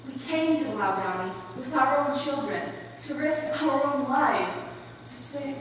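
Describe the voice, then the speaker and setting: A woman's voice: fairly high pitch, natural voice. A woman as a solemn storyteller, echoing hall. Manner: measured, earnest, dramatic. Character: solemn storyteller, missionary widow and tribeswoman. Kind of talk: dramatic stage recital, reader's theater. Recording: very echoey hall from far off, faint noise.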